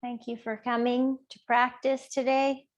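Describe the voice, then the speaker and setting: A woman teacher gently guiding a body scan meditation in an unhurried, soothing way with long pauses. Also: on the high side, level tone, dull audio. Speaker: yoga and body awareness teacher.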